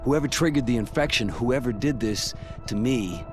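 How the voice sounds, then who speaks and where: An actor playing a brooding, bitter man who is no longer human, speaking gravely and haltingly in a dramatic game cutscene. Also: mid-range voice, expressive, close to an everyday voice. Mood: grim, determined. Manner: grave, halting, intense confessional delivery. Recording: loud music over the voice. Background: loud music.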